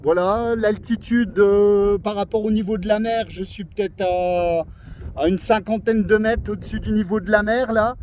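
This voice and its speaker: High-voiced Frenchman chatting on the radio outdoors. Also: steady tone, normal speed, a little mellow. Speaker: amateur CB radio operator. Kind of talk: casual radio chat. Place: outdoors on a seaside cliff.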